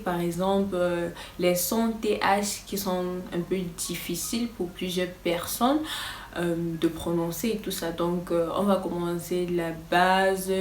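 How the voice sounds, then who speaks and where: A woman's voice: lowish voice, darkish tone, roomy sound, far from the mic, a little hiss or hum. A woman whose first language is English teaching English in casual French, hesitant, with many fillers and a nervous, stop-start delivery. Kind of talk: casual vlog-style lesson.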